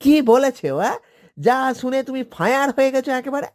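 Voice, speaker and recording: A man's voice: very high-pitched, animated, fast talker. A man voicing a village husband and father in a cartoon, exasperated yet placating and full of questions. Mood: incredulous. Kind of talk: animated cartoon voice acting.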